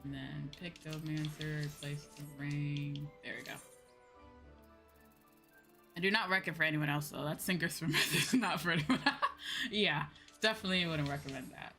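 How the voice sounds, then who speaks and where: A woman's voice: low voice, normal speed, sharp tone. A young woman chatting casually and informally as she livestreams a game, with a giggly manner. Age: young adult. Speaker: streamer. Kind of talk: casual livestream chat while gaming. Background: music.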